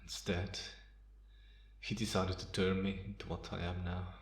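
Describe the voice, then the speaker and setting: A man playing a bitter, guarded, centuries-old vampire in a slow ASMR roleplay monologue with measured pauses. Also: low voice, little pitch movement. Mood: haunted, bitter. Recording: roomy, echoing sound.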